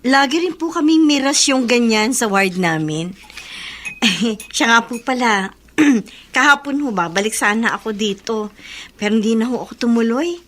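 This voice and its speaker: An actress playing a gentle, friendly Filipino woman in a radio drama. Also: a little high, normal speed, a little hiss or hum, close to an everyday voice. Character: gentle, friendly female hospital patient confiding in an elder.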